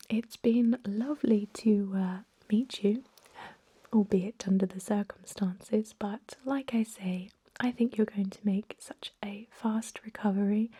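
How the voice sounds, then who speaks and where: A woman playing a thousand-year-old vampire medic in an ASMR role-play, whispering softly in a gentle, courteous, reassuring way with a hesitant, explanatory manner. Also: medium pitch. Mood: reassuring, kindly. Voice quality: soft, breathy whisper. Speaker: emergency room clinician in a role-play.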